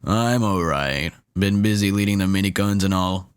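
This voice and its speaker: An actor playing a reluctant, overworked robot leader in an audio drama, dry and reserved. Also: male voice, deep voice, normal speed, smooth, natural voice.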